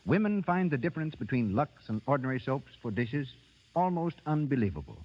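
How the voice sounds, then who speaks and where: Male radio announcer, 1930s. Formal scripted delivery with a lively melodic swing, in a muffled, reverberant broadcast. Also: medium pitch, fairly quick.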